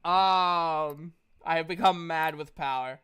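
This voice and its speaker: A man with a notably high, crisp and clear voice runs a tabletop game session. He chats casually as game master, playful and animated, with easy swearing. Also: slow pace.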